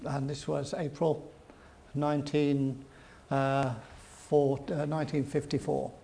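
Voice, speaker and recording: Older man, gravelly voice, fairly high pitch; rambling, chuckling interview guest. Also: little pitch movement, slow, mellow, slight background noise. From England. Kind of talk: storytelling interview.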